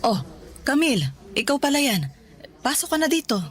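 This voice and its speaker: A woman's voice: high-pitched, sing-song, normal speed, a little hiss or hum. An actress in a radio drama playing a middle-aged mother who scolds her ill daughter in a caring way. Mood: motherly. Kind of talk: Tagalog radio drama acting.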